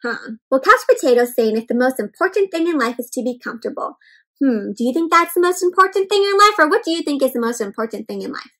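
A young woman doing an interactive read-aloud for children, exclaiming and putting questions to her young listeners in an animated way. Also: high voice, quick pace. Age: young adult. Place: indoor room with bookshelves.

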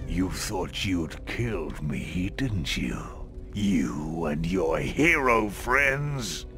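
An aged, evil sorcerer from a fantasy game, gloating and domineering. His low voice swings widely through slow, theatrical threats, over clear background music. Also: sing-song, full character voice. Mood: menacing, smug. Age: older. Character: villainous old sorcerer tyrant, gloating, domineering, possessing a wizard's body. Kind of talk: video game cutscene acting.

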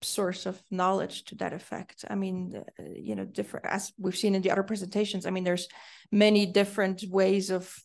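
A woman speaks in a gravelly, fry-laced voice. She answers panel questions thoughtfully, pausing with ums and restarting her sentences. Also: mid-range voice, flat delivery, a little mellow. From Switzerland. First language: Swiss German. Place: lecture room.

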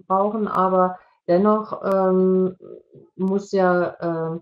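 A middle-aged woman explaining as an expert in an online discussion, with measured, hesitant phrasing. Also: fairly low pitch, darkish tone. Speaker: expert panelist. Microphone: video call. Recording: dull, lo-fi video call audio.

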